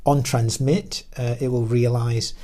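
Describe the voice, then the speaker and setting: A man with a medium-pitched voice gives an explanatory technical lecture. He speaks as an engineer walking through slides. His delivery is halting, often pausing partway through a phrase before carrying on. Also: little pitch movement, dark tone. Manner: halting delivery with frequent pauses mid-phrase. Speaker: radio designer and engineer presenting.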